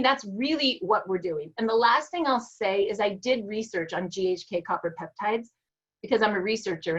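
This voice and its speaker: A woman's voice: mid-range voice, a bit bright, slightly rough, dull audio. A woman giving an earnest, emphatic personal testimonial in casual talk. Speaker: network marketing guest.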